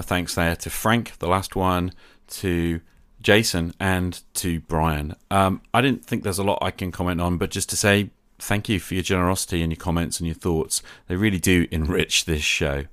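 A man hosting a podcast, giving a measured address to listeners. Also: low voice, fairly even intonation, a bit bright, smooth. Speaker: podcast host. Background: guitar music.

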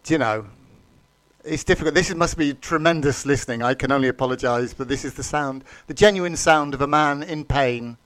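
A man's voice: on the high side, a little fast, a bit bright, no room sound. A man co-hosting a football podcast, mock-dramatic and self-deprecating. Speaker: podcast co-host. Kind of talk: casual football chat.

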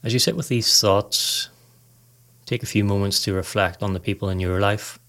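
Man, lower-pitched voice, very level intonation, calm, measured, guided meditation narration. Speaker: podcast host, meditation guide.

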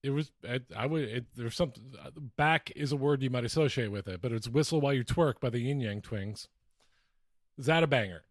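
A man of medium pitch speaking as a podcast co-host. He chats casually and jokes along in a conversational way, recorded close with no room sound. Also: a little fast, dry recording. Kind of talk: casual comic banter.

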